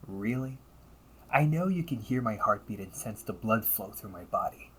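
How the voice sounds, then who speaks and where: A man acts out a cunning villain big brother in an audio roleplay, mid-pitched with a bright, sharp edge. His delivery is highly animated, taunting and theatrical, slipping into breathy hushed tones. Faint noise sits behind the voice. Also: moderate pace. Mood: smug, exasperated. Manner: smug, taunting, theatrical, often drops to breathy near-whispers. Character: calm, cunning, condescending villain, the listener's older brother. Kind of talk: audio roleplay monologue addressed to the listener.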